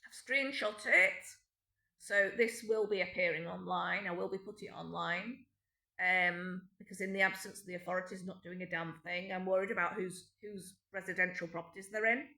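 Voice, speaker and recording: A middle-aged woman with a British accent talks on and on in a clear, bright voice, aggrieved and rambling, stopping often to restart her sentences. Her voice bounces around an echoey room at home. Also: fairly low pitch, fairly even intonation, moderate pace. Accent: British English. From Britain. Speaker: vlogger. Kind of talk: unscripted personal monologue. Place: home indoors.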